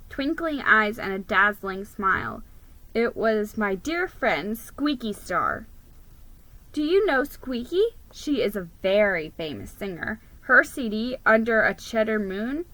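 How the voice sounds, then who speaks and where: A woman giving a theatrical, expressive reading of a children's story as its narrator. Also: medium pitch, no room sound, poor audio. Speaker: story reader.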